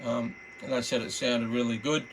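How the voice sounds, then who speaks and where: A middle-aged or older Australian man giving a casual, unscripted product walkthrough in a laid-back, halting, rambling way. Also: medium pitch, flat delivery, a little hiss or hum, low-quality recording. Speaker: hobbyist radio reviewer.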